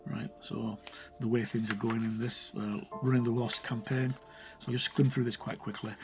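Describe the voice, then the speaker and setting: A man's voice: medium pitch, flat delivery, moderate pace, over music. A man giving a casual, unscripted walkthrough of a game sourcebook, rambling and hesitant, often correcting himself as he goes. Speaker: reviewer presenting a tabletop game sourcebook.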